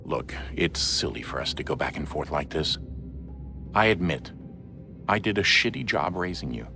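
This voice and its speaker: A deep, gravelly middle-aged father in a game cutscene. He talks haltingly, with wide lively pitch movement, sometimes dropping to a whisper. Game music plays clearly behind him. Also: full character voice. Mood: apologetic. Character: Desmond's gruff middle-aged assassin father. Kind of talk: video game cutscene acting.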